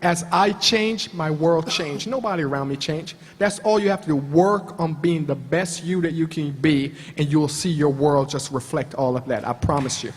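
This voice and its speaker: A man's voice: fairly high pitch, normal speed, few pauses, a little hiss or hum. A man from Jamaica speaking on a panel, emphatic and repeating phrases for effect. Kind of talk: passionate motivational panel talk. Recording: long hall reverb.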